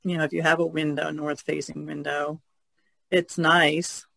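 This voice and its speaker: Woman, podcast panelist, flat intonation, gravelly creak, casual talk. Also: low voice, measured pace, no room sound.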